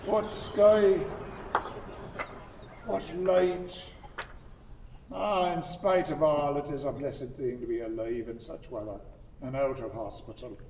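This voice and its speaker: A man acting a fussy, grumbling old gentleman in a radio play, formally polite and theatrical. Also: on the high side, slow, pauses often. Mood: wistful. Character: fussy, grumbling old gentleman cyclist, courteous but doleful. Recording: distant, echoey hall with noise.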